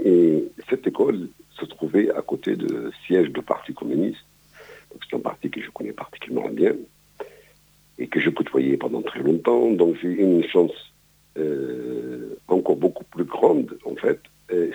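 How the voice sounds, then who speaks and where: Very deep gravelly older man. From Palestine. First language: Arabic. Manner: hesitant, many fillers and repeated words. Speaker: guest. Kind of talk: radio interview, life story. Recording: dull radio broadcast sound.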